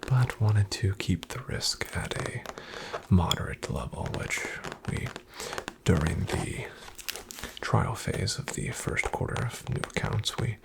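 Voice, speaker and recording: A man playing a polite, reassuring financial advisor in an ASMR roleplay, in a breathy half-whisper with gravelly fry and a slow, soothing, formal delivery. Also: lowish voice, heavy background noise, exaggerated voice. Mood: calm, businesslike. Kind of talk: ASMR, soft-spoken roleplay.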